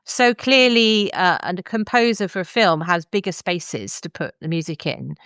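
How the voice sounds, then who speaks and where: A woman podcast host with a smooth, clear voice. She talks in a conversational reviewing style, and the sound is close and dry. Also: fairly low pitch, moderate pace, fairly bright tone, no echo.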